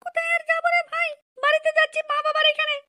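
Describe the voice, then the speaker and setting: A woman voicing an aggrieved young village wife in an amateur comedy cartoon, squeaky and scolding in an indignant, complaining way. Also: level tone, very fast, sharp tone. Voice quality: squeaky, artificially high-pitched.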